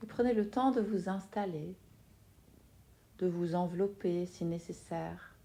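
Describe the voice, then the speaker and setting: A woman with a low-pitched, mellow voice guides a relaxation practice. She speaks very slowly and softly, in short phrases with long pauses. Her manner is soothing throughout. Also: vocal fry. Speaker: yoga nidra teacher guiding a relaxation. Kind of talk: guided meditation.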